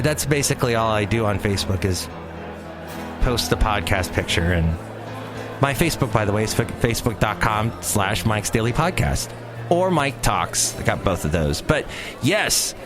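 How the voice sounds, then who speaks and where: A man hosting his own podcast in a rambling, jokey monologue with playful asides. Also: mid-range voice. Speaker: podcast host. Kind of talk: casual solo podcast monologue.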